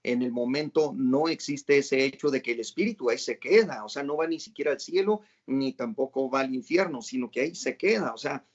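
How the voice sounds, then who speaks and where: A man's voice: mid-range voice, a little fast, hardly any pauses, highs cut off. A man hosting a casual religious interview, asking long questions that are full of fillers and rephrasing. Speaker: host and interviewer.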